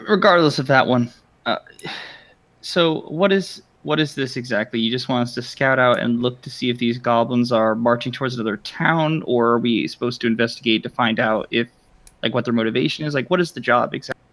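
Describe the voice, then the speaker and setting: Blunt fighter for hire, a male tabletop player, mid-pitched, assured and matter-of-fact. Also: brisk, fairly bright tone, dry recording, lightly acted. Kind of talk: improvised in-character roleplay.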